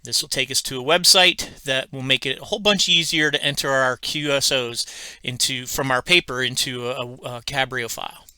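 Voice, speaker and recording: Bright, crisp-voiced man giving a tutorial. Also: medium pitch, fairly even intonation, normal speed, dry recording. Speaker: tutorial instructor. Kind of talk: step-by-step software tutorial, unscripted explanation. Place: indoors at a computer, screen-recording voice-over.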